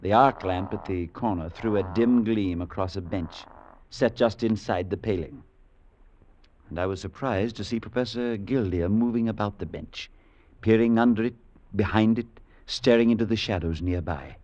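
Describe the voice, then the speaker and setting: An actor playing a gentle priest who narrates an old-time radio drama in a measured way. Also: male voice, fairly low pitch, natural voice. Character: kindly male priest narrating. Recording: dull vintage recording with music.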